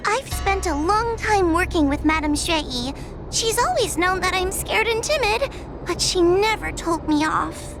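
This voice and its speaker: Timid girl, extremely high-pitched. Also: expressive, unhurried, over music, full character voice. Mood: earnest. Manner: hesitant, timid, meek. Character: timid, easily scared young girl serving as apprentice judge. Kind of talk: video game character acting.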